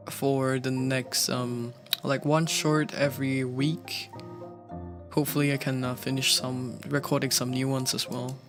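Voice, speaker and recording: A streamer and cover singer chatting casually, talking haltingly with many restarts and fillers. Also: mid-range voice, pushed and bright. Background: faint background music.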